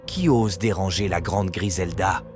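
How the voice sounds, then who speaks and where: A wicked witch from a children's storybook cartoon, acted out by a man, gloomy and lonely. The voice sits low, and music plays clearly behind it. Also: a little slow. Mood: menacing, gloomy.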